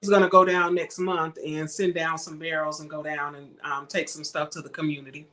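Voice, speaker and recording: A woman's voice: sits low, flat delivery, a little fast, fairly bright tone. A woman hosting a vlog, speaking in a casual, conversational style. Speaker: vlog host. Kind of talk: casual chat.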